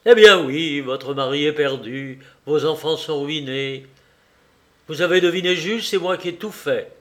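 Snarling cynical banker villain, loud and cutting, spits his lines out, as a man reads him. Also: a little high, expressive, a little slow. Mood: furious gloating. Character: proud ruthless male banker, middle-aged villain. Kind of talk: audiobook reading.

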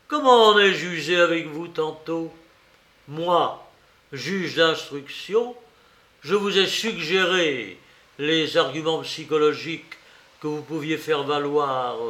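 A sly, needling examining magistrate from an audiobook, acted out by a man. He sounds falsely jolly and overly familiar, toying with his suspect. The voice is slightly high, slow and set in a reverberant room. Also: a bit bright. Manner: goading, chuckling, theatrically familiar. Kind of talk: dramatized audiobook reading. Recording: roomy, echoing voice recording.

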